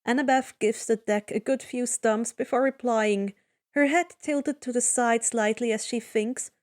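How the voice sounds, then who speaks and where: A woman's voice: a little high, fairly even intonation, unhurried. A woman reading fan fiction aloud as a dramatic story narration.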